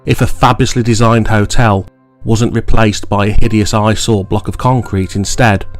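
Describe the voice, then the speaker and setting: A man reading a scripted local history documentary in a measured, formal way. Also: lowish voice, fairly even intonation, faint music behind. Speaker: documentary narrator.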